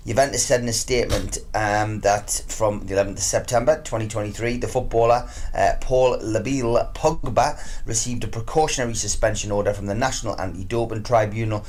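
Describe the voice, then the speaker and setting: A man's voice: on the low side, steady tone, a little fast, hardly any pauses, darkish tone, a little hiss or hum. A man on sports radio giving football news reports mixed with informal comment.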